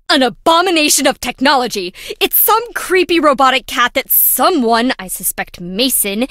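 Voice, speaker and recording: A woman's voice: on the high side, a bit bright, dry recording, studio quality. A woman playing a quirky, dramatic young female lab worker in a video game, chatty, wry and theatrical. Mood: dramatic dread.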